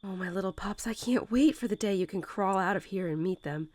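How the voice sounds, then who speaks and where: A woman's voice: mid-range voice, close to an everyday voice. A woman playing a young mother wolf who talks tenderly and without hurry to her newborn pups, in the style of a bedtime roleplay audio. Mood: loving, reassuring. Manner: tender, unhurried, softly chuckling, hesitant informal phrasing. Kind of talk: soothing bedtime roleplay audio, intimate storytelling.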